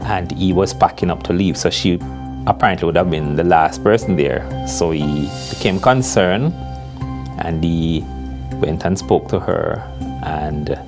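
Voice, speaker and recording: A man giving a measured account in an interview. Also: sits low. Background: background music.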